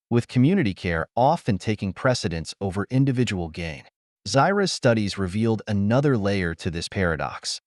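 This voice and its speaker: A man reading scripted science fiction as a narrator, in a measured, dramatic storytelling delivery. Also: lowish voice, no room sound, clean studio sound.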